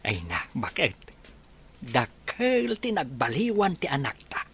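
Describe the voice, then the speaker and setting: A man playing a good-humoured elderly father and husband in a radio drama, expressive, with an Ilocano accent. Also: medium pitch, unhurried, noisy background. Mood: jovial, pleased.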